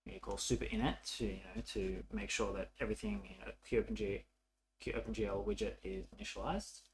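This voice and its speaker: Low creaky-voiced man teaching code. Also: fairly even intonation. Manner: informal, halting, thinking aloud. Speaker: programming tutorial presenter. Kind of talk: casual coding tutorial narration while typing.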